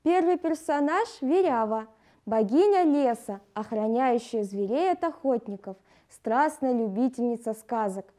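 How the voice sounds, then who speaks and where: A woman's voice: a little high, lively intonation, measured pace, warm tone. A woman presenting a scripted educational lesson in a didactic way, addressing her pupils.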